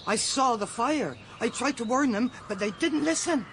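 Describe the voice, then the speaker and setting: An actress playing a blunt, foreboding rustic fortune-teller in a radio drama. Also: female voice, fairly high pitch, lively intonation, moderate pace, slight background noise, poor audio. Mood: ominous. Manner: blunt, foreboding, non-standard grammar. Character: ominous rustic fortune-teller woman, wary, warning. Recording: outdoor ambience with birds behind.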